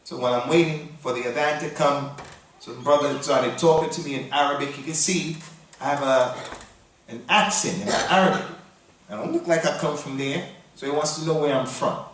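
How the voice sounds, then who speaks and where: A man from the United States giving a sermon, reciting Arabic verses quickly and then explaining them in English. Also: a little high, a bit bright, a little hiss or hum. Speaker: Islamic preacher. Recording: very echoey hall, voice from far off.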